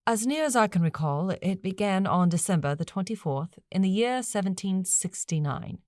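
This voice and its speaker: A woman voicing a courteous, rueful eighteenth-century gentleman in an audiobook, with a formal, measured manner. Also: mid-range voice, animated, dry recording, studio quality, natural voice. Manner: formal, measured, old-fashioned wording. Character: courteous, rueful 18th-century gentleman, a lonely cursed man.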